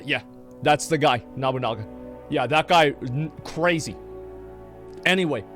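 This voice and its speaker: A man's voice: medium pitch, a bit bright. A man streaming a game live, rambling in casual, profane chat with many restarts.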